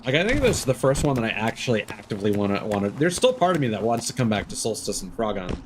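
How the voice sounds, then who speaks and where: A man's voice: on the low side, very animated, quick pace, background noise. A man giving live gameplay commentary, thinking aloud and correcting himself in an exasperated, complaining way. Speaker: game streamer.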